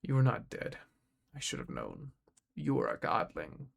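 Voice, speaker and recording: A man voicing a coldly condescending underworld ferryman in an audiobook, smooth and drawn-out. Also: fairly low pitch, wildly swooping pitch, pitched below the performer's own voice, full character voice. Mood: cold, suspicious.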